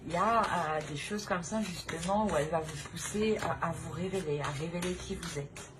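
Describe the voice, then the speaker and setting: A woman giving an informal spiritual tarot reading, halting and repeating herself as she searches for words. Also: fairly low pitch. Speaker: tarot reader hosting a channel. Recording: clearly noisy recording with ambient sound.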